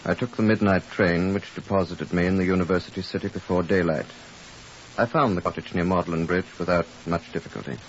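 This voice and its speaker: A very deep-voiced British police superintendent in a vintage radio drama. He is composed, dry and a little weary, on a muffled recording with light hiss. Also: a little fast. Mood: dry, measured. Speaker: actor narrating and playing a detective. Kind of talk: 1950s radio drama narration and dialogue.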